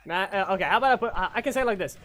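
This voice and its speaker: A man's voice: high voice, very fast. A man giving casual live commentary on a fighting game, calling out moves and mocking opponents. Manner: excitable, calls out moves and trash-talks opponents. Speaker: gaming channel host.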